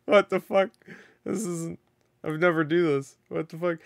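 Excitable swearing man, voice wearing out. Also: on the high side, measured pace, gravelly, no echo, clean studio sound. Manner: excitable, swears a lot, laughs often. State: losing his voice. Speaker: reaction video host. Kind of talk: casual reaction commentary. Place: home room, indoors. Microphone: headset.

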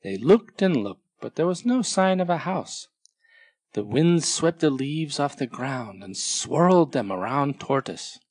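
A storyteller reading a children's picture book aloud in a measured, expressive way. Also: medium pitch, husky, dry recording, clean studio sound. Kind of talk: scripted children's storybook narration.

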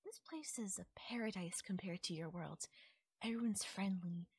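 A woman's voice: mid-range voice, moderate pace, slightly rough, no room sound. A woman playing a possessive, doting fairy captor in an intimate ASMR audio roleplay, speaking sweetly and lilting, sometimes nearly whispering. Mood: soft, possessive.

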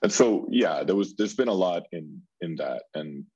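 A man's voice: deep voice, animated, moderate pace, darkish tone, breathy edge, no room sound, muffled recording. A man from Colombia, a furniture designer talking casually as an interview guest, rambling with many fillers and restarts.

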